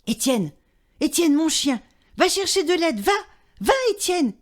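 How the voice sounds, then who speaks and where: A woman's voice: big pitch swings, measured pace. A woman voicing a flustered, exasperated father who is stuck in a cat flap. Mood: urgent. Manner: high, strained, pleading. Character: flustered middle-aged dad, stuck and exasperated.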